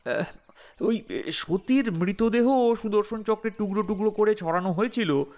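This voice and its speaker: A man's voice: sits high, moderate pace, darkish tone, lightly acted. A man playing a wry, self-mocking and reluctant writer in a comic audio drama.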